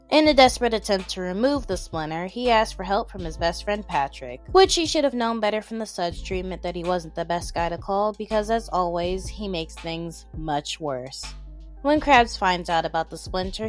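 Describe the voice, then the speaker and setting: A young adult woman narrating an informal, opinionated video commentary with emphatic asides. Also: on the low side. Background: background music.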